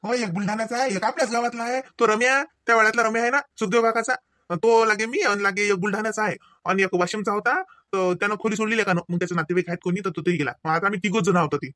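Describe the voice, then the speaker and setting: A man's voice: high-pitched, steady tone, fast, pushed and bright, no echo, clean studio sound. A man playing a teasing young student uncle visiting his sister in a Marathi comedy cartoon, speaking familiarly with a rural Vidarbha accent. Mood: easygoing.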